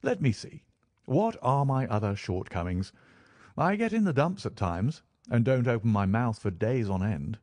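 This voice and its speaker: A man performs an eccentric scientific detective from a storybook, pitched well up and brimming with eager energy. He talks briskly and candidly, with animated cheer, in a close, dry sound. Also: dry recording, lightly acted. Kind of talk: audiobook character dialogue.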